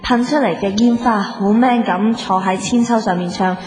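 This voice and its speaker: A woman's voice: medium pitch, darkish tone, soft background music, close to an everyday voice. A woman playing a bossy, scolding young woman in a radio comedy drama, with a Hong Kong Cantonese accent and an emphatic, nagging delivery. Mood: coaxing, hypnotic. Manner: emphatic, nagging, drawn-out sentence particles. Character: bossy, scolding young woman working as a strict driver-assistant.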